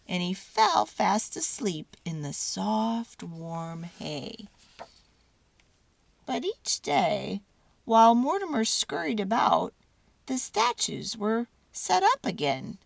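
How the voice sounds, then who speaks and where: A woman reading a children's storybook aloud dramatically, with theatrical, deliberate phrasing and drawn-out words. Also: medium pitch, very animated, creaky, no echo.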